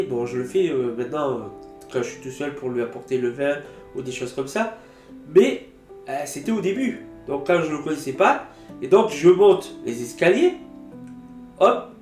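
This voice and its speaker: A man tells stories in a bright, animated way, his voice sitting a little high. He has a cold. He sounds roomy, with soft guitar music playing underneath. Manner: lively, animated storytelling with sound effects. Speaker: vlogger. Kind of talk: casual storytelling vlog.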